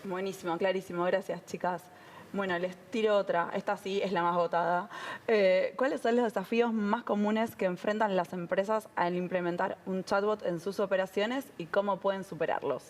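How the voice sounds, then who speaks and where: A woman's voice: medium pitch, fairly even intonation, moderate pace. A woman moderating a conference panel, reading out audience questions in an upbeat, chatty way.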